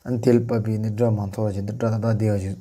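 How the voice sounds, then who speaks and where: A man giving an unscripted software tutorial, talking through the steps in halting, broken-up phrases. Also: on the low side, little pitch movement. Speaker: tutorial presenter.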